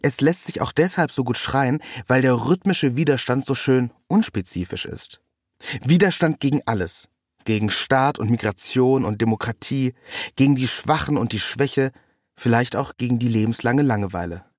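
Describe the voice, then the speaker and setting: A man narrating a scripted first-person literary text in a measured, ironic manner. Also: medium pitch, no echo, clean studio sound.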